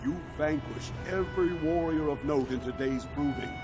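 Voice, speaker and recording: A man's voice: a little high, normal speed, close to an everyday voice. A man playing a grand, solemn dwarf announcer in a fantasy game, speaking in a formal, declamatory way. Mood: formal praise. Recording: music behind the voice.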